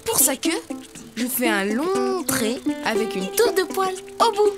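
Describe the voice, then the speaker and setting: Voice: sits high, very animated, unhurried. A performer voicing a cheerful, helpful young child who explains things encouragingly in a preschool cartoon. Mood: instructive. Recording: music behind the voice.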